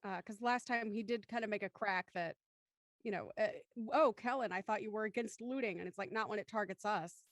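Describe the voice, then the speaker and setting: A woman moderates a panel in a conversational way. Her voice sits at a medium pitch, and the recording is dry, with very little room around it. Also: fairly quick, dry recording. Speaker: host of a cast discussion.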